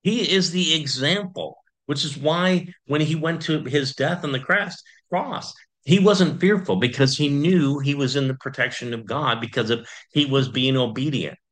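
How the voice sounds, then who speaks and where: A man, a pastor, preaching a sermon in a conversational way, with frequent pauses and fillers. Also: fairly high pitch, a bit bright.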